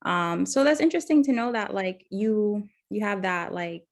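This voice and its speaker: A woman's voice: mid-range voice, expressive, moderate pace, vocal fry. A woman in her twenties acting as counselor in a practice intake session, talking hesitantly with many fillers and restarts. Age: 20s. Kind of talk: mock counseling intake conversation.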